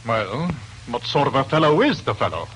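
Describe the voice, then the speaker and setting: Casting: grumbly retired husband, older, very animated mid-pitched voice, old-time radio. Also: male voice, moderate pace, a little hiss or hum, rough recording. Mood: grumbling. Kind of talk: 1940s radio drama acting. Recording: old 1940s radio broadcast, music behind.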